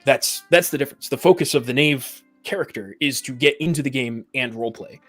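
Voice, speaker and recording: A man's voice: mid-range voice, a little fast, fairly bright tone. A man talking through his reasoning in a casual panel discussion, explaining at length and readily allowing for his own limits. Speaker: podcast panelist. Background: background music.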